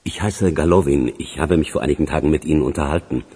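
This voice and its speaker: Very deep, formal policeman. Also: quick pace, mellow, slightly rough. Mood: polite, discreet. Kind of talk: German radio crime drama acting.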